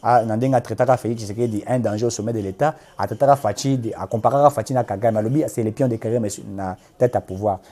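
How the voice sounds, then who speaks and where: A man's voice: on the low side, fast talker, few pauses, warm tone. A man with a Congolese accent speaking as a political activist in an interview, emphatic and impassioned, repeating points and switching between two languages.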